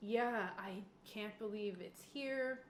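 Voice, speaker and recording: A woman's voice: mid-range voice, slow, roomy sound, a little hiss or hum, close to an everyday voice. A woman voicing an anxious theatre actor in a tabletop roleplaying game. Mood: subdued.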